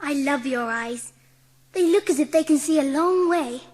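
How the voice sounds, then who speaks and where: A woman's voice: high voice, moderate pace, a little mellow, natural voice. A woman voicing a timid, admiring young schoolgirl with a simple, wide-eyed manner in a dramatised audiobook.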